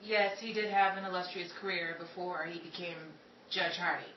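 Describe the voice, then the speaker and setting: A woman's voice: fairly low pitch, fairly even intonation, moderate pace, dull audio, voice pushed down, close to an everyday voice. A woman playing a chatty, polished 1930s radio broadcaster with a theatrical, presentational delivery. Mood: showy, poised. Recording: echoey room, distant mic, noisy background.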